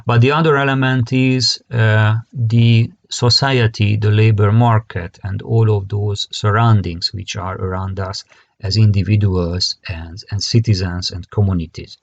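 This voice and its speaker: A man with a Hungarian accent giving an academic conference lecture in halting, hesitant phrasing that often repeats words. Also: fairly low pitch, fairly even intonation, gravelly, lo-fi sound. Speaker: conference presenter, career guidance expert.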